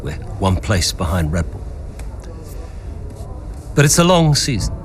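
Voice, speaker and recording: An actor playing a middle-aged, ambitious father and racing team owner, speaking in a commanding, deliberate way for a game story. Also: low voice, sing-song, a little hiss or hum. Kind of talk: cinematic game story dialogue.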